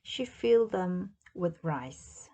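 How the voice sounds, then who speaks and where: A woman reading a children's story aloud as narrator, in a deliberate, expressive storytelling manner. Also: lowish voice, slightly rough. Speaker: storybook reader.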